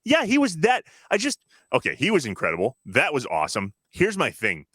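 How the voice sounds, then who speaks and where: A man with an American accent and a bright, forward voice, recorded close and dry. His pitch moves a lot as he chats. He sounds like an enthusiastic podcast co-host. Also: wildly swooping pitch, fairly quick, husky, dry recording. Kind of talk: casual chat.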